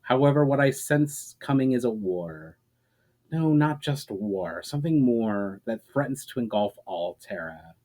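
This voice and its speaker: A man's voice: mid-range voice, expressive, unhurried, dark tone, close to an everyday voice. A man voicing an earnest, troubled mage in a storybook reading, grave and explanatory in manner. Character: troubled, earnest male mage haunted by visions.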